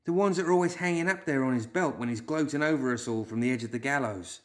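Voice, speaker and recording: Man voicing a higher-pitched, knowing outlaw woman. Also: quick pace, close to an everyday voice. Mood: satisfied. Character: self-assured, bookish outlaw woman, coolly knowing.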